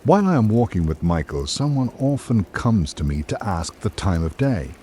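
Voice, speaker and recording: Male narrator, lowish and very animated in pitch, formal literary radio-drama read with measured phrasing, faint background noise.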